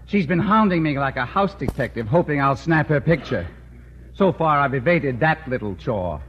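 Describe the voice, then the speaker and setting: Guy doing a quick businesslike photographer, upbeat, hissy old radio. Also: fairly high pitch, expressive, close to an everyday voice. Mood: brisk. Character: brisk, breezy male magazine photographer. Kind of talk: 1950s radio sitcom acting. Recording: muffled old radio broadcast with hiss.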